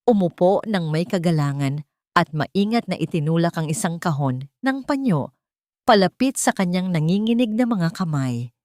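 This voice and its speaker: Low-voiced Filipino woman narrating drama. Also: expressive, normal speed, a little mellow, no room sound, studio quality. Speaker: storyteller. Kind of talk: scripted dramatic story narration.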